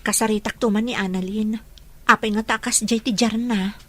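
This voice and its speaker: A woman's voice: mid-range voice, fairly even intonation, moderate pace, lightly acted. A woman with an Ilocano accent acting a gossipy young friend sharing news in an audio drama. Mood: confiding.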